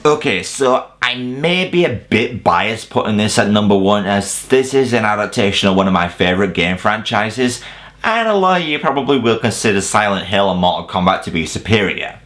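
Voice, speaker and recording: A man's voice: on the low side, lively intonation, normal speed, a bit bright. A man giving a casual, opinionated scripted video review, emphatic and sweary with self-deprecating humour. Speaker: video reviewer presenting a top ten list.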